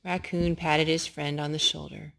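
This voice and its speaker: A woman reading a picture book aloud to a young child, gently asking him questions between pages. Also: low-pitched, flat delivery, moderate pace, creaky. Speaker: storyteller.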